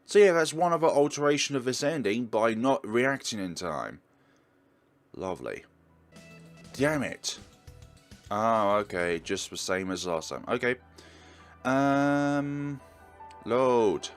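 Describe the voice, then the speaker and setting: A man's voice: mid-range voice, expressive, slow pace, long pauses. A man hosting a let's play, reading a visual novel aloud with casual commentary. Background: music.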